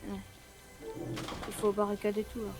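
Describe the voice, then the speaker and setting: A woman improvising a roleplay while playing a video game, speaking informally and haltingly. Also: mid-range voice, monotone, over music. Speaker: player in a Fortnite zombie roleplay. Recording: noisy amateur home microphone.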